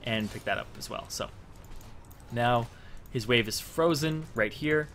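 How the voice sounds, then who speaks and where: A man's voice: medium pitch, unhurried, a bit bright, a little hiss or hum. A young man giving casual, explanatory gameplay commentary, thinking aloud as he plays. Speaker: gamer making a strategy guide. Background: faint game music and sounds.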